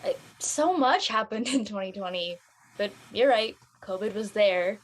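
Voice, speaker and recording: A woman's voice: medium pitch, expressive, a little slow, low-quality recording. A woman acting a casual, conversational character in a scripted drama table read. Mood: reflective.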